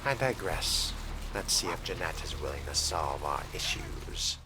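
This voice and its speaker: A man's voice: sits low, animated, unhurried, noisy background. A man playing an arrogant, power-hungry young vampire, speaking in formal, brooding, theatrical monologue for a game role-play. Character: menacing power-hungry fledgling male vampire, coldly arrogant. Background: loud music.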